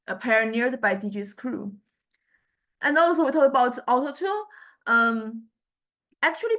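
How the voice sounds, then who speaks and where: A woman giving an informal lecture in a hesitant, halting way, often repeating and restarting her words. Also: on the high side, a bit bright.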